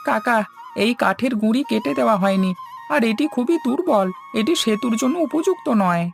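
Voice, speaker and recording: Woman acting worried cartoon villager, creaky voice, respectful, formal, music behind. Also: fairly high pitch, animated, moderate pace. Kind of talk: formal scripted cartoon dialogue.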